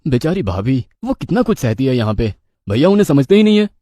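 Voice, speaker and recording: A man voicing an evasive, smooth-talking young cheating husband in a family story. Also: medium pitch, very animated, fast, mellow, dry recording, studio quality, close to an everyday voice. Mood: sympathetic.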